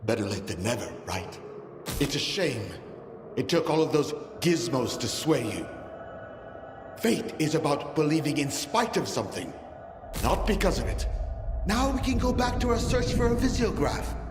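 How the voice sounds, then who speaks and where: An actor playing a taunting, zealous cult leader in a video game cutscene, preaching and mocking in a theatrical way. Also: male voice, on the high side, very animated, normal speed. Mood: smug, coaxing. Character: zealous, taunting male cult leader of a post-apocalyptic zone. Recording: echoey hall, music behind.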